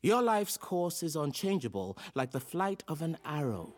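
An actor playing a forceful philosophy professor in an audio drama, lecturing in an emphatic, theatrical and blunt manner. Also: mid-range voice, big pitch swings, moderate pace, lightly acted. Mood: grandly assured.

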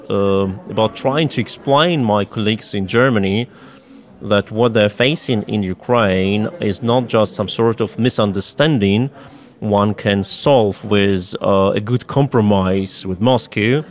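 A man from Russia with a Russian accent, a native Russian speaker, explaining politics in a halting, deliberate and emphatic way as an interview guest. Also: lowish voice.

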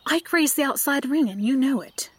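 A woman's voice: high voice, animated, normal speed, no echo. Modest noblewoman, dry sound. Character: modest young noblewoman archer. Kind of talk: audio drama acting.

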